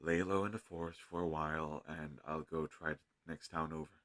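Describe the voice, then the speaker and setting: A deep-voiced, gentle healer mage from a fantasy audio roleplay, acted by a man. He speaks close and dry, thinking aloud in an earnest, slightly hesitant way to his travel companion. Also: fairly even intonation, normal speed, no room sound. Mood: thoughtful, determined. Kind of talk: scripted fantasy audio roleplay addressed to the listener.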